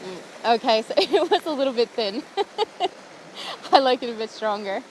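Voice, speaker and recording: Chatty American woman vlogging outdoors; a touch high, quick to laugh, faint outdoor hiss behind. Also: very animated. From USA. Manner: chatty and giggly, talking to camera while cooking. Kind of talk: casual outdoor vlog chat. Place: outdoors by a campfire in the woods.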